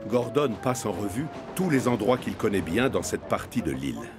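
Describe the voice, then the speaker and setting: Animated male children's cartoon narrator. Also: lowish voice, normal speed. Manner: expressive storyteller delivery. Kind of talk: scripted storytelling for a children's cartoon. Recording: music behind the voice.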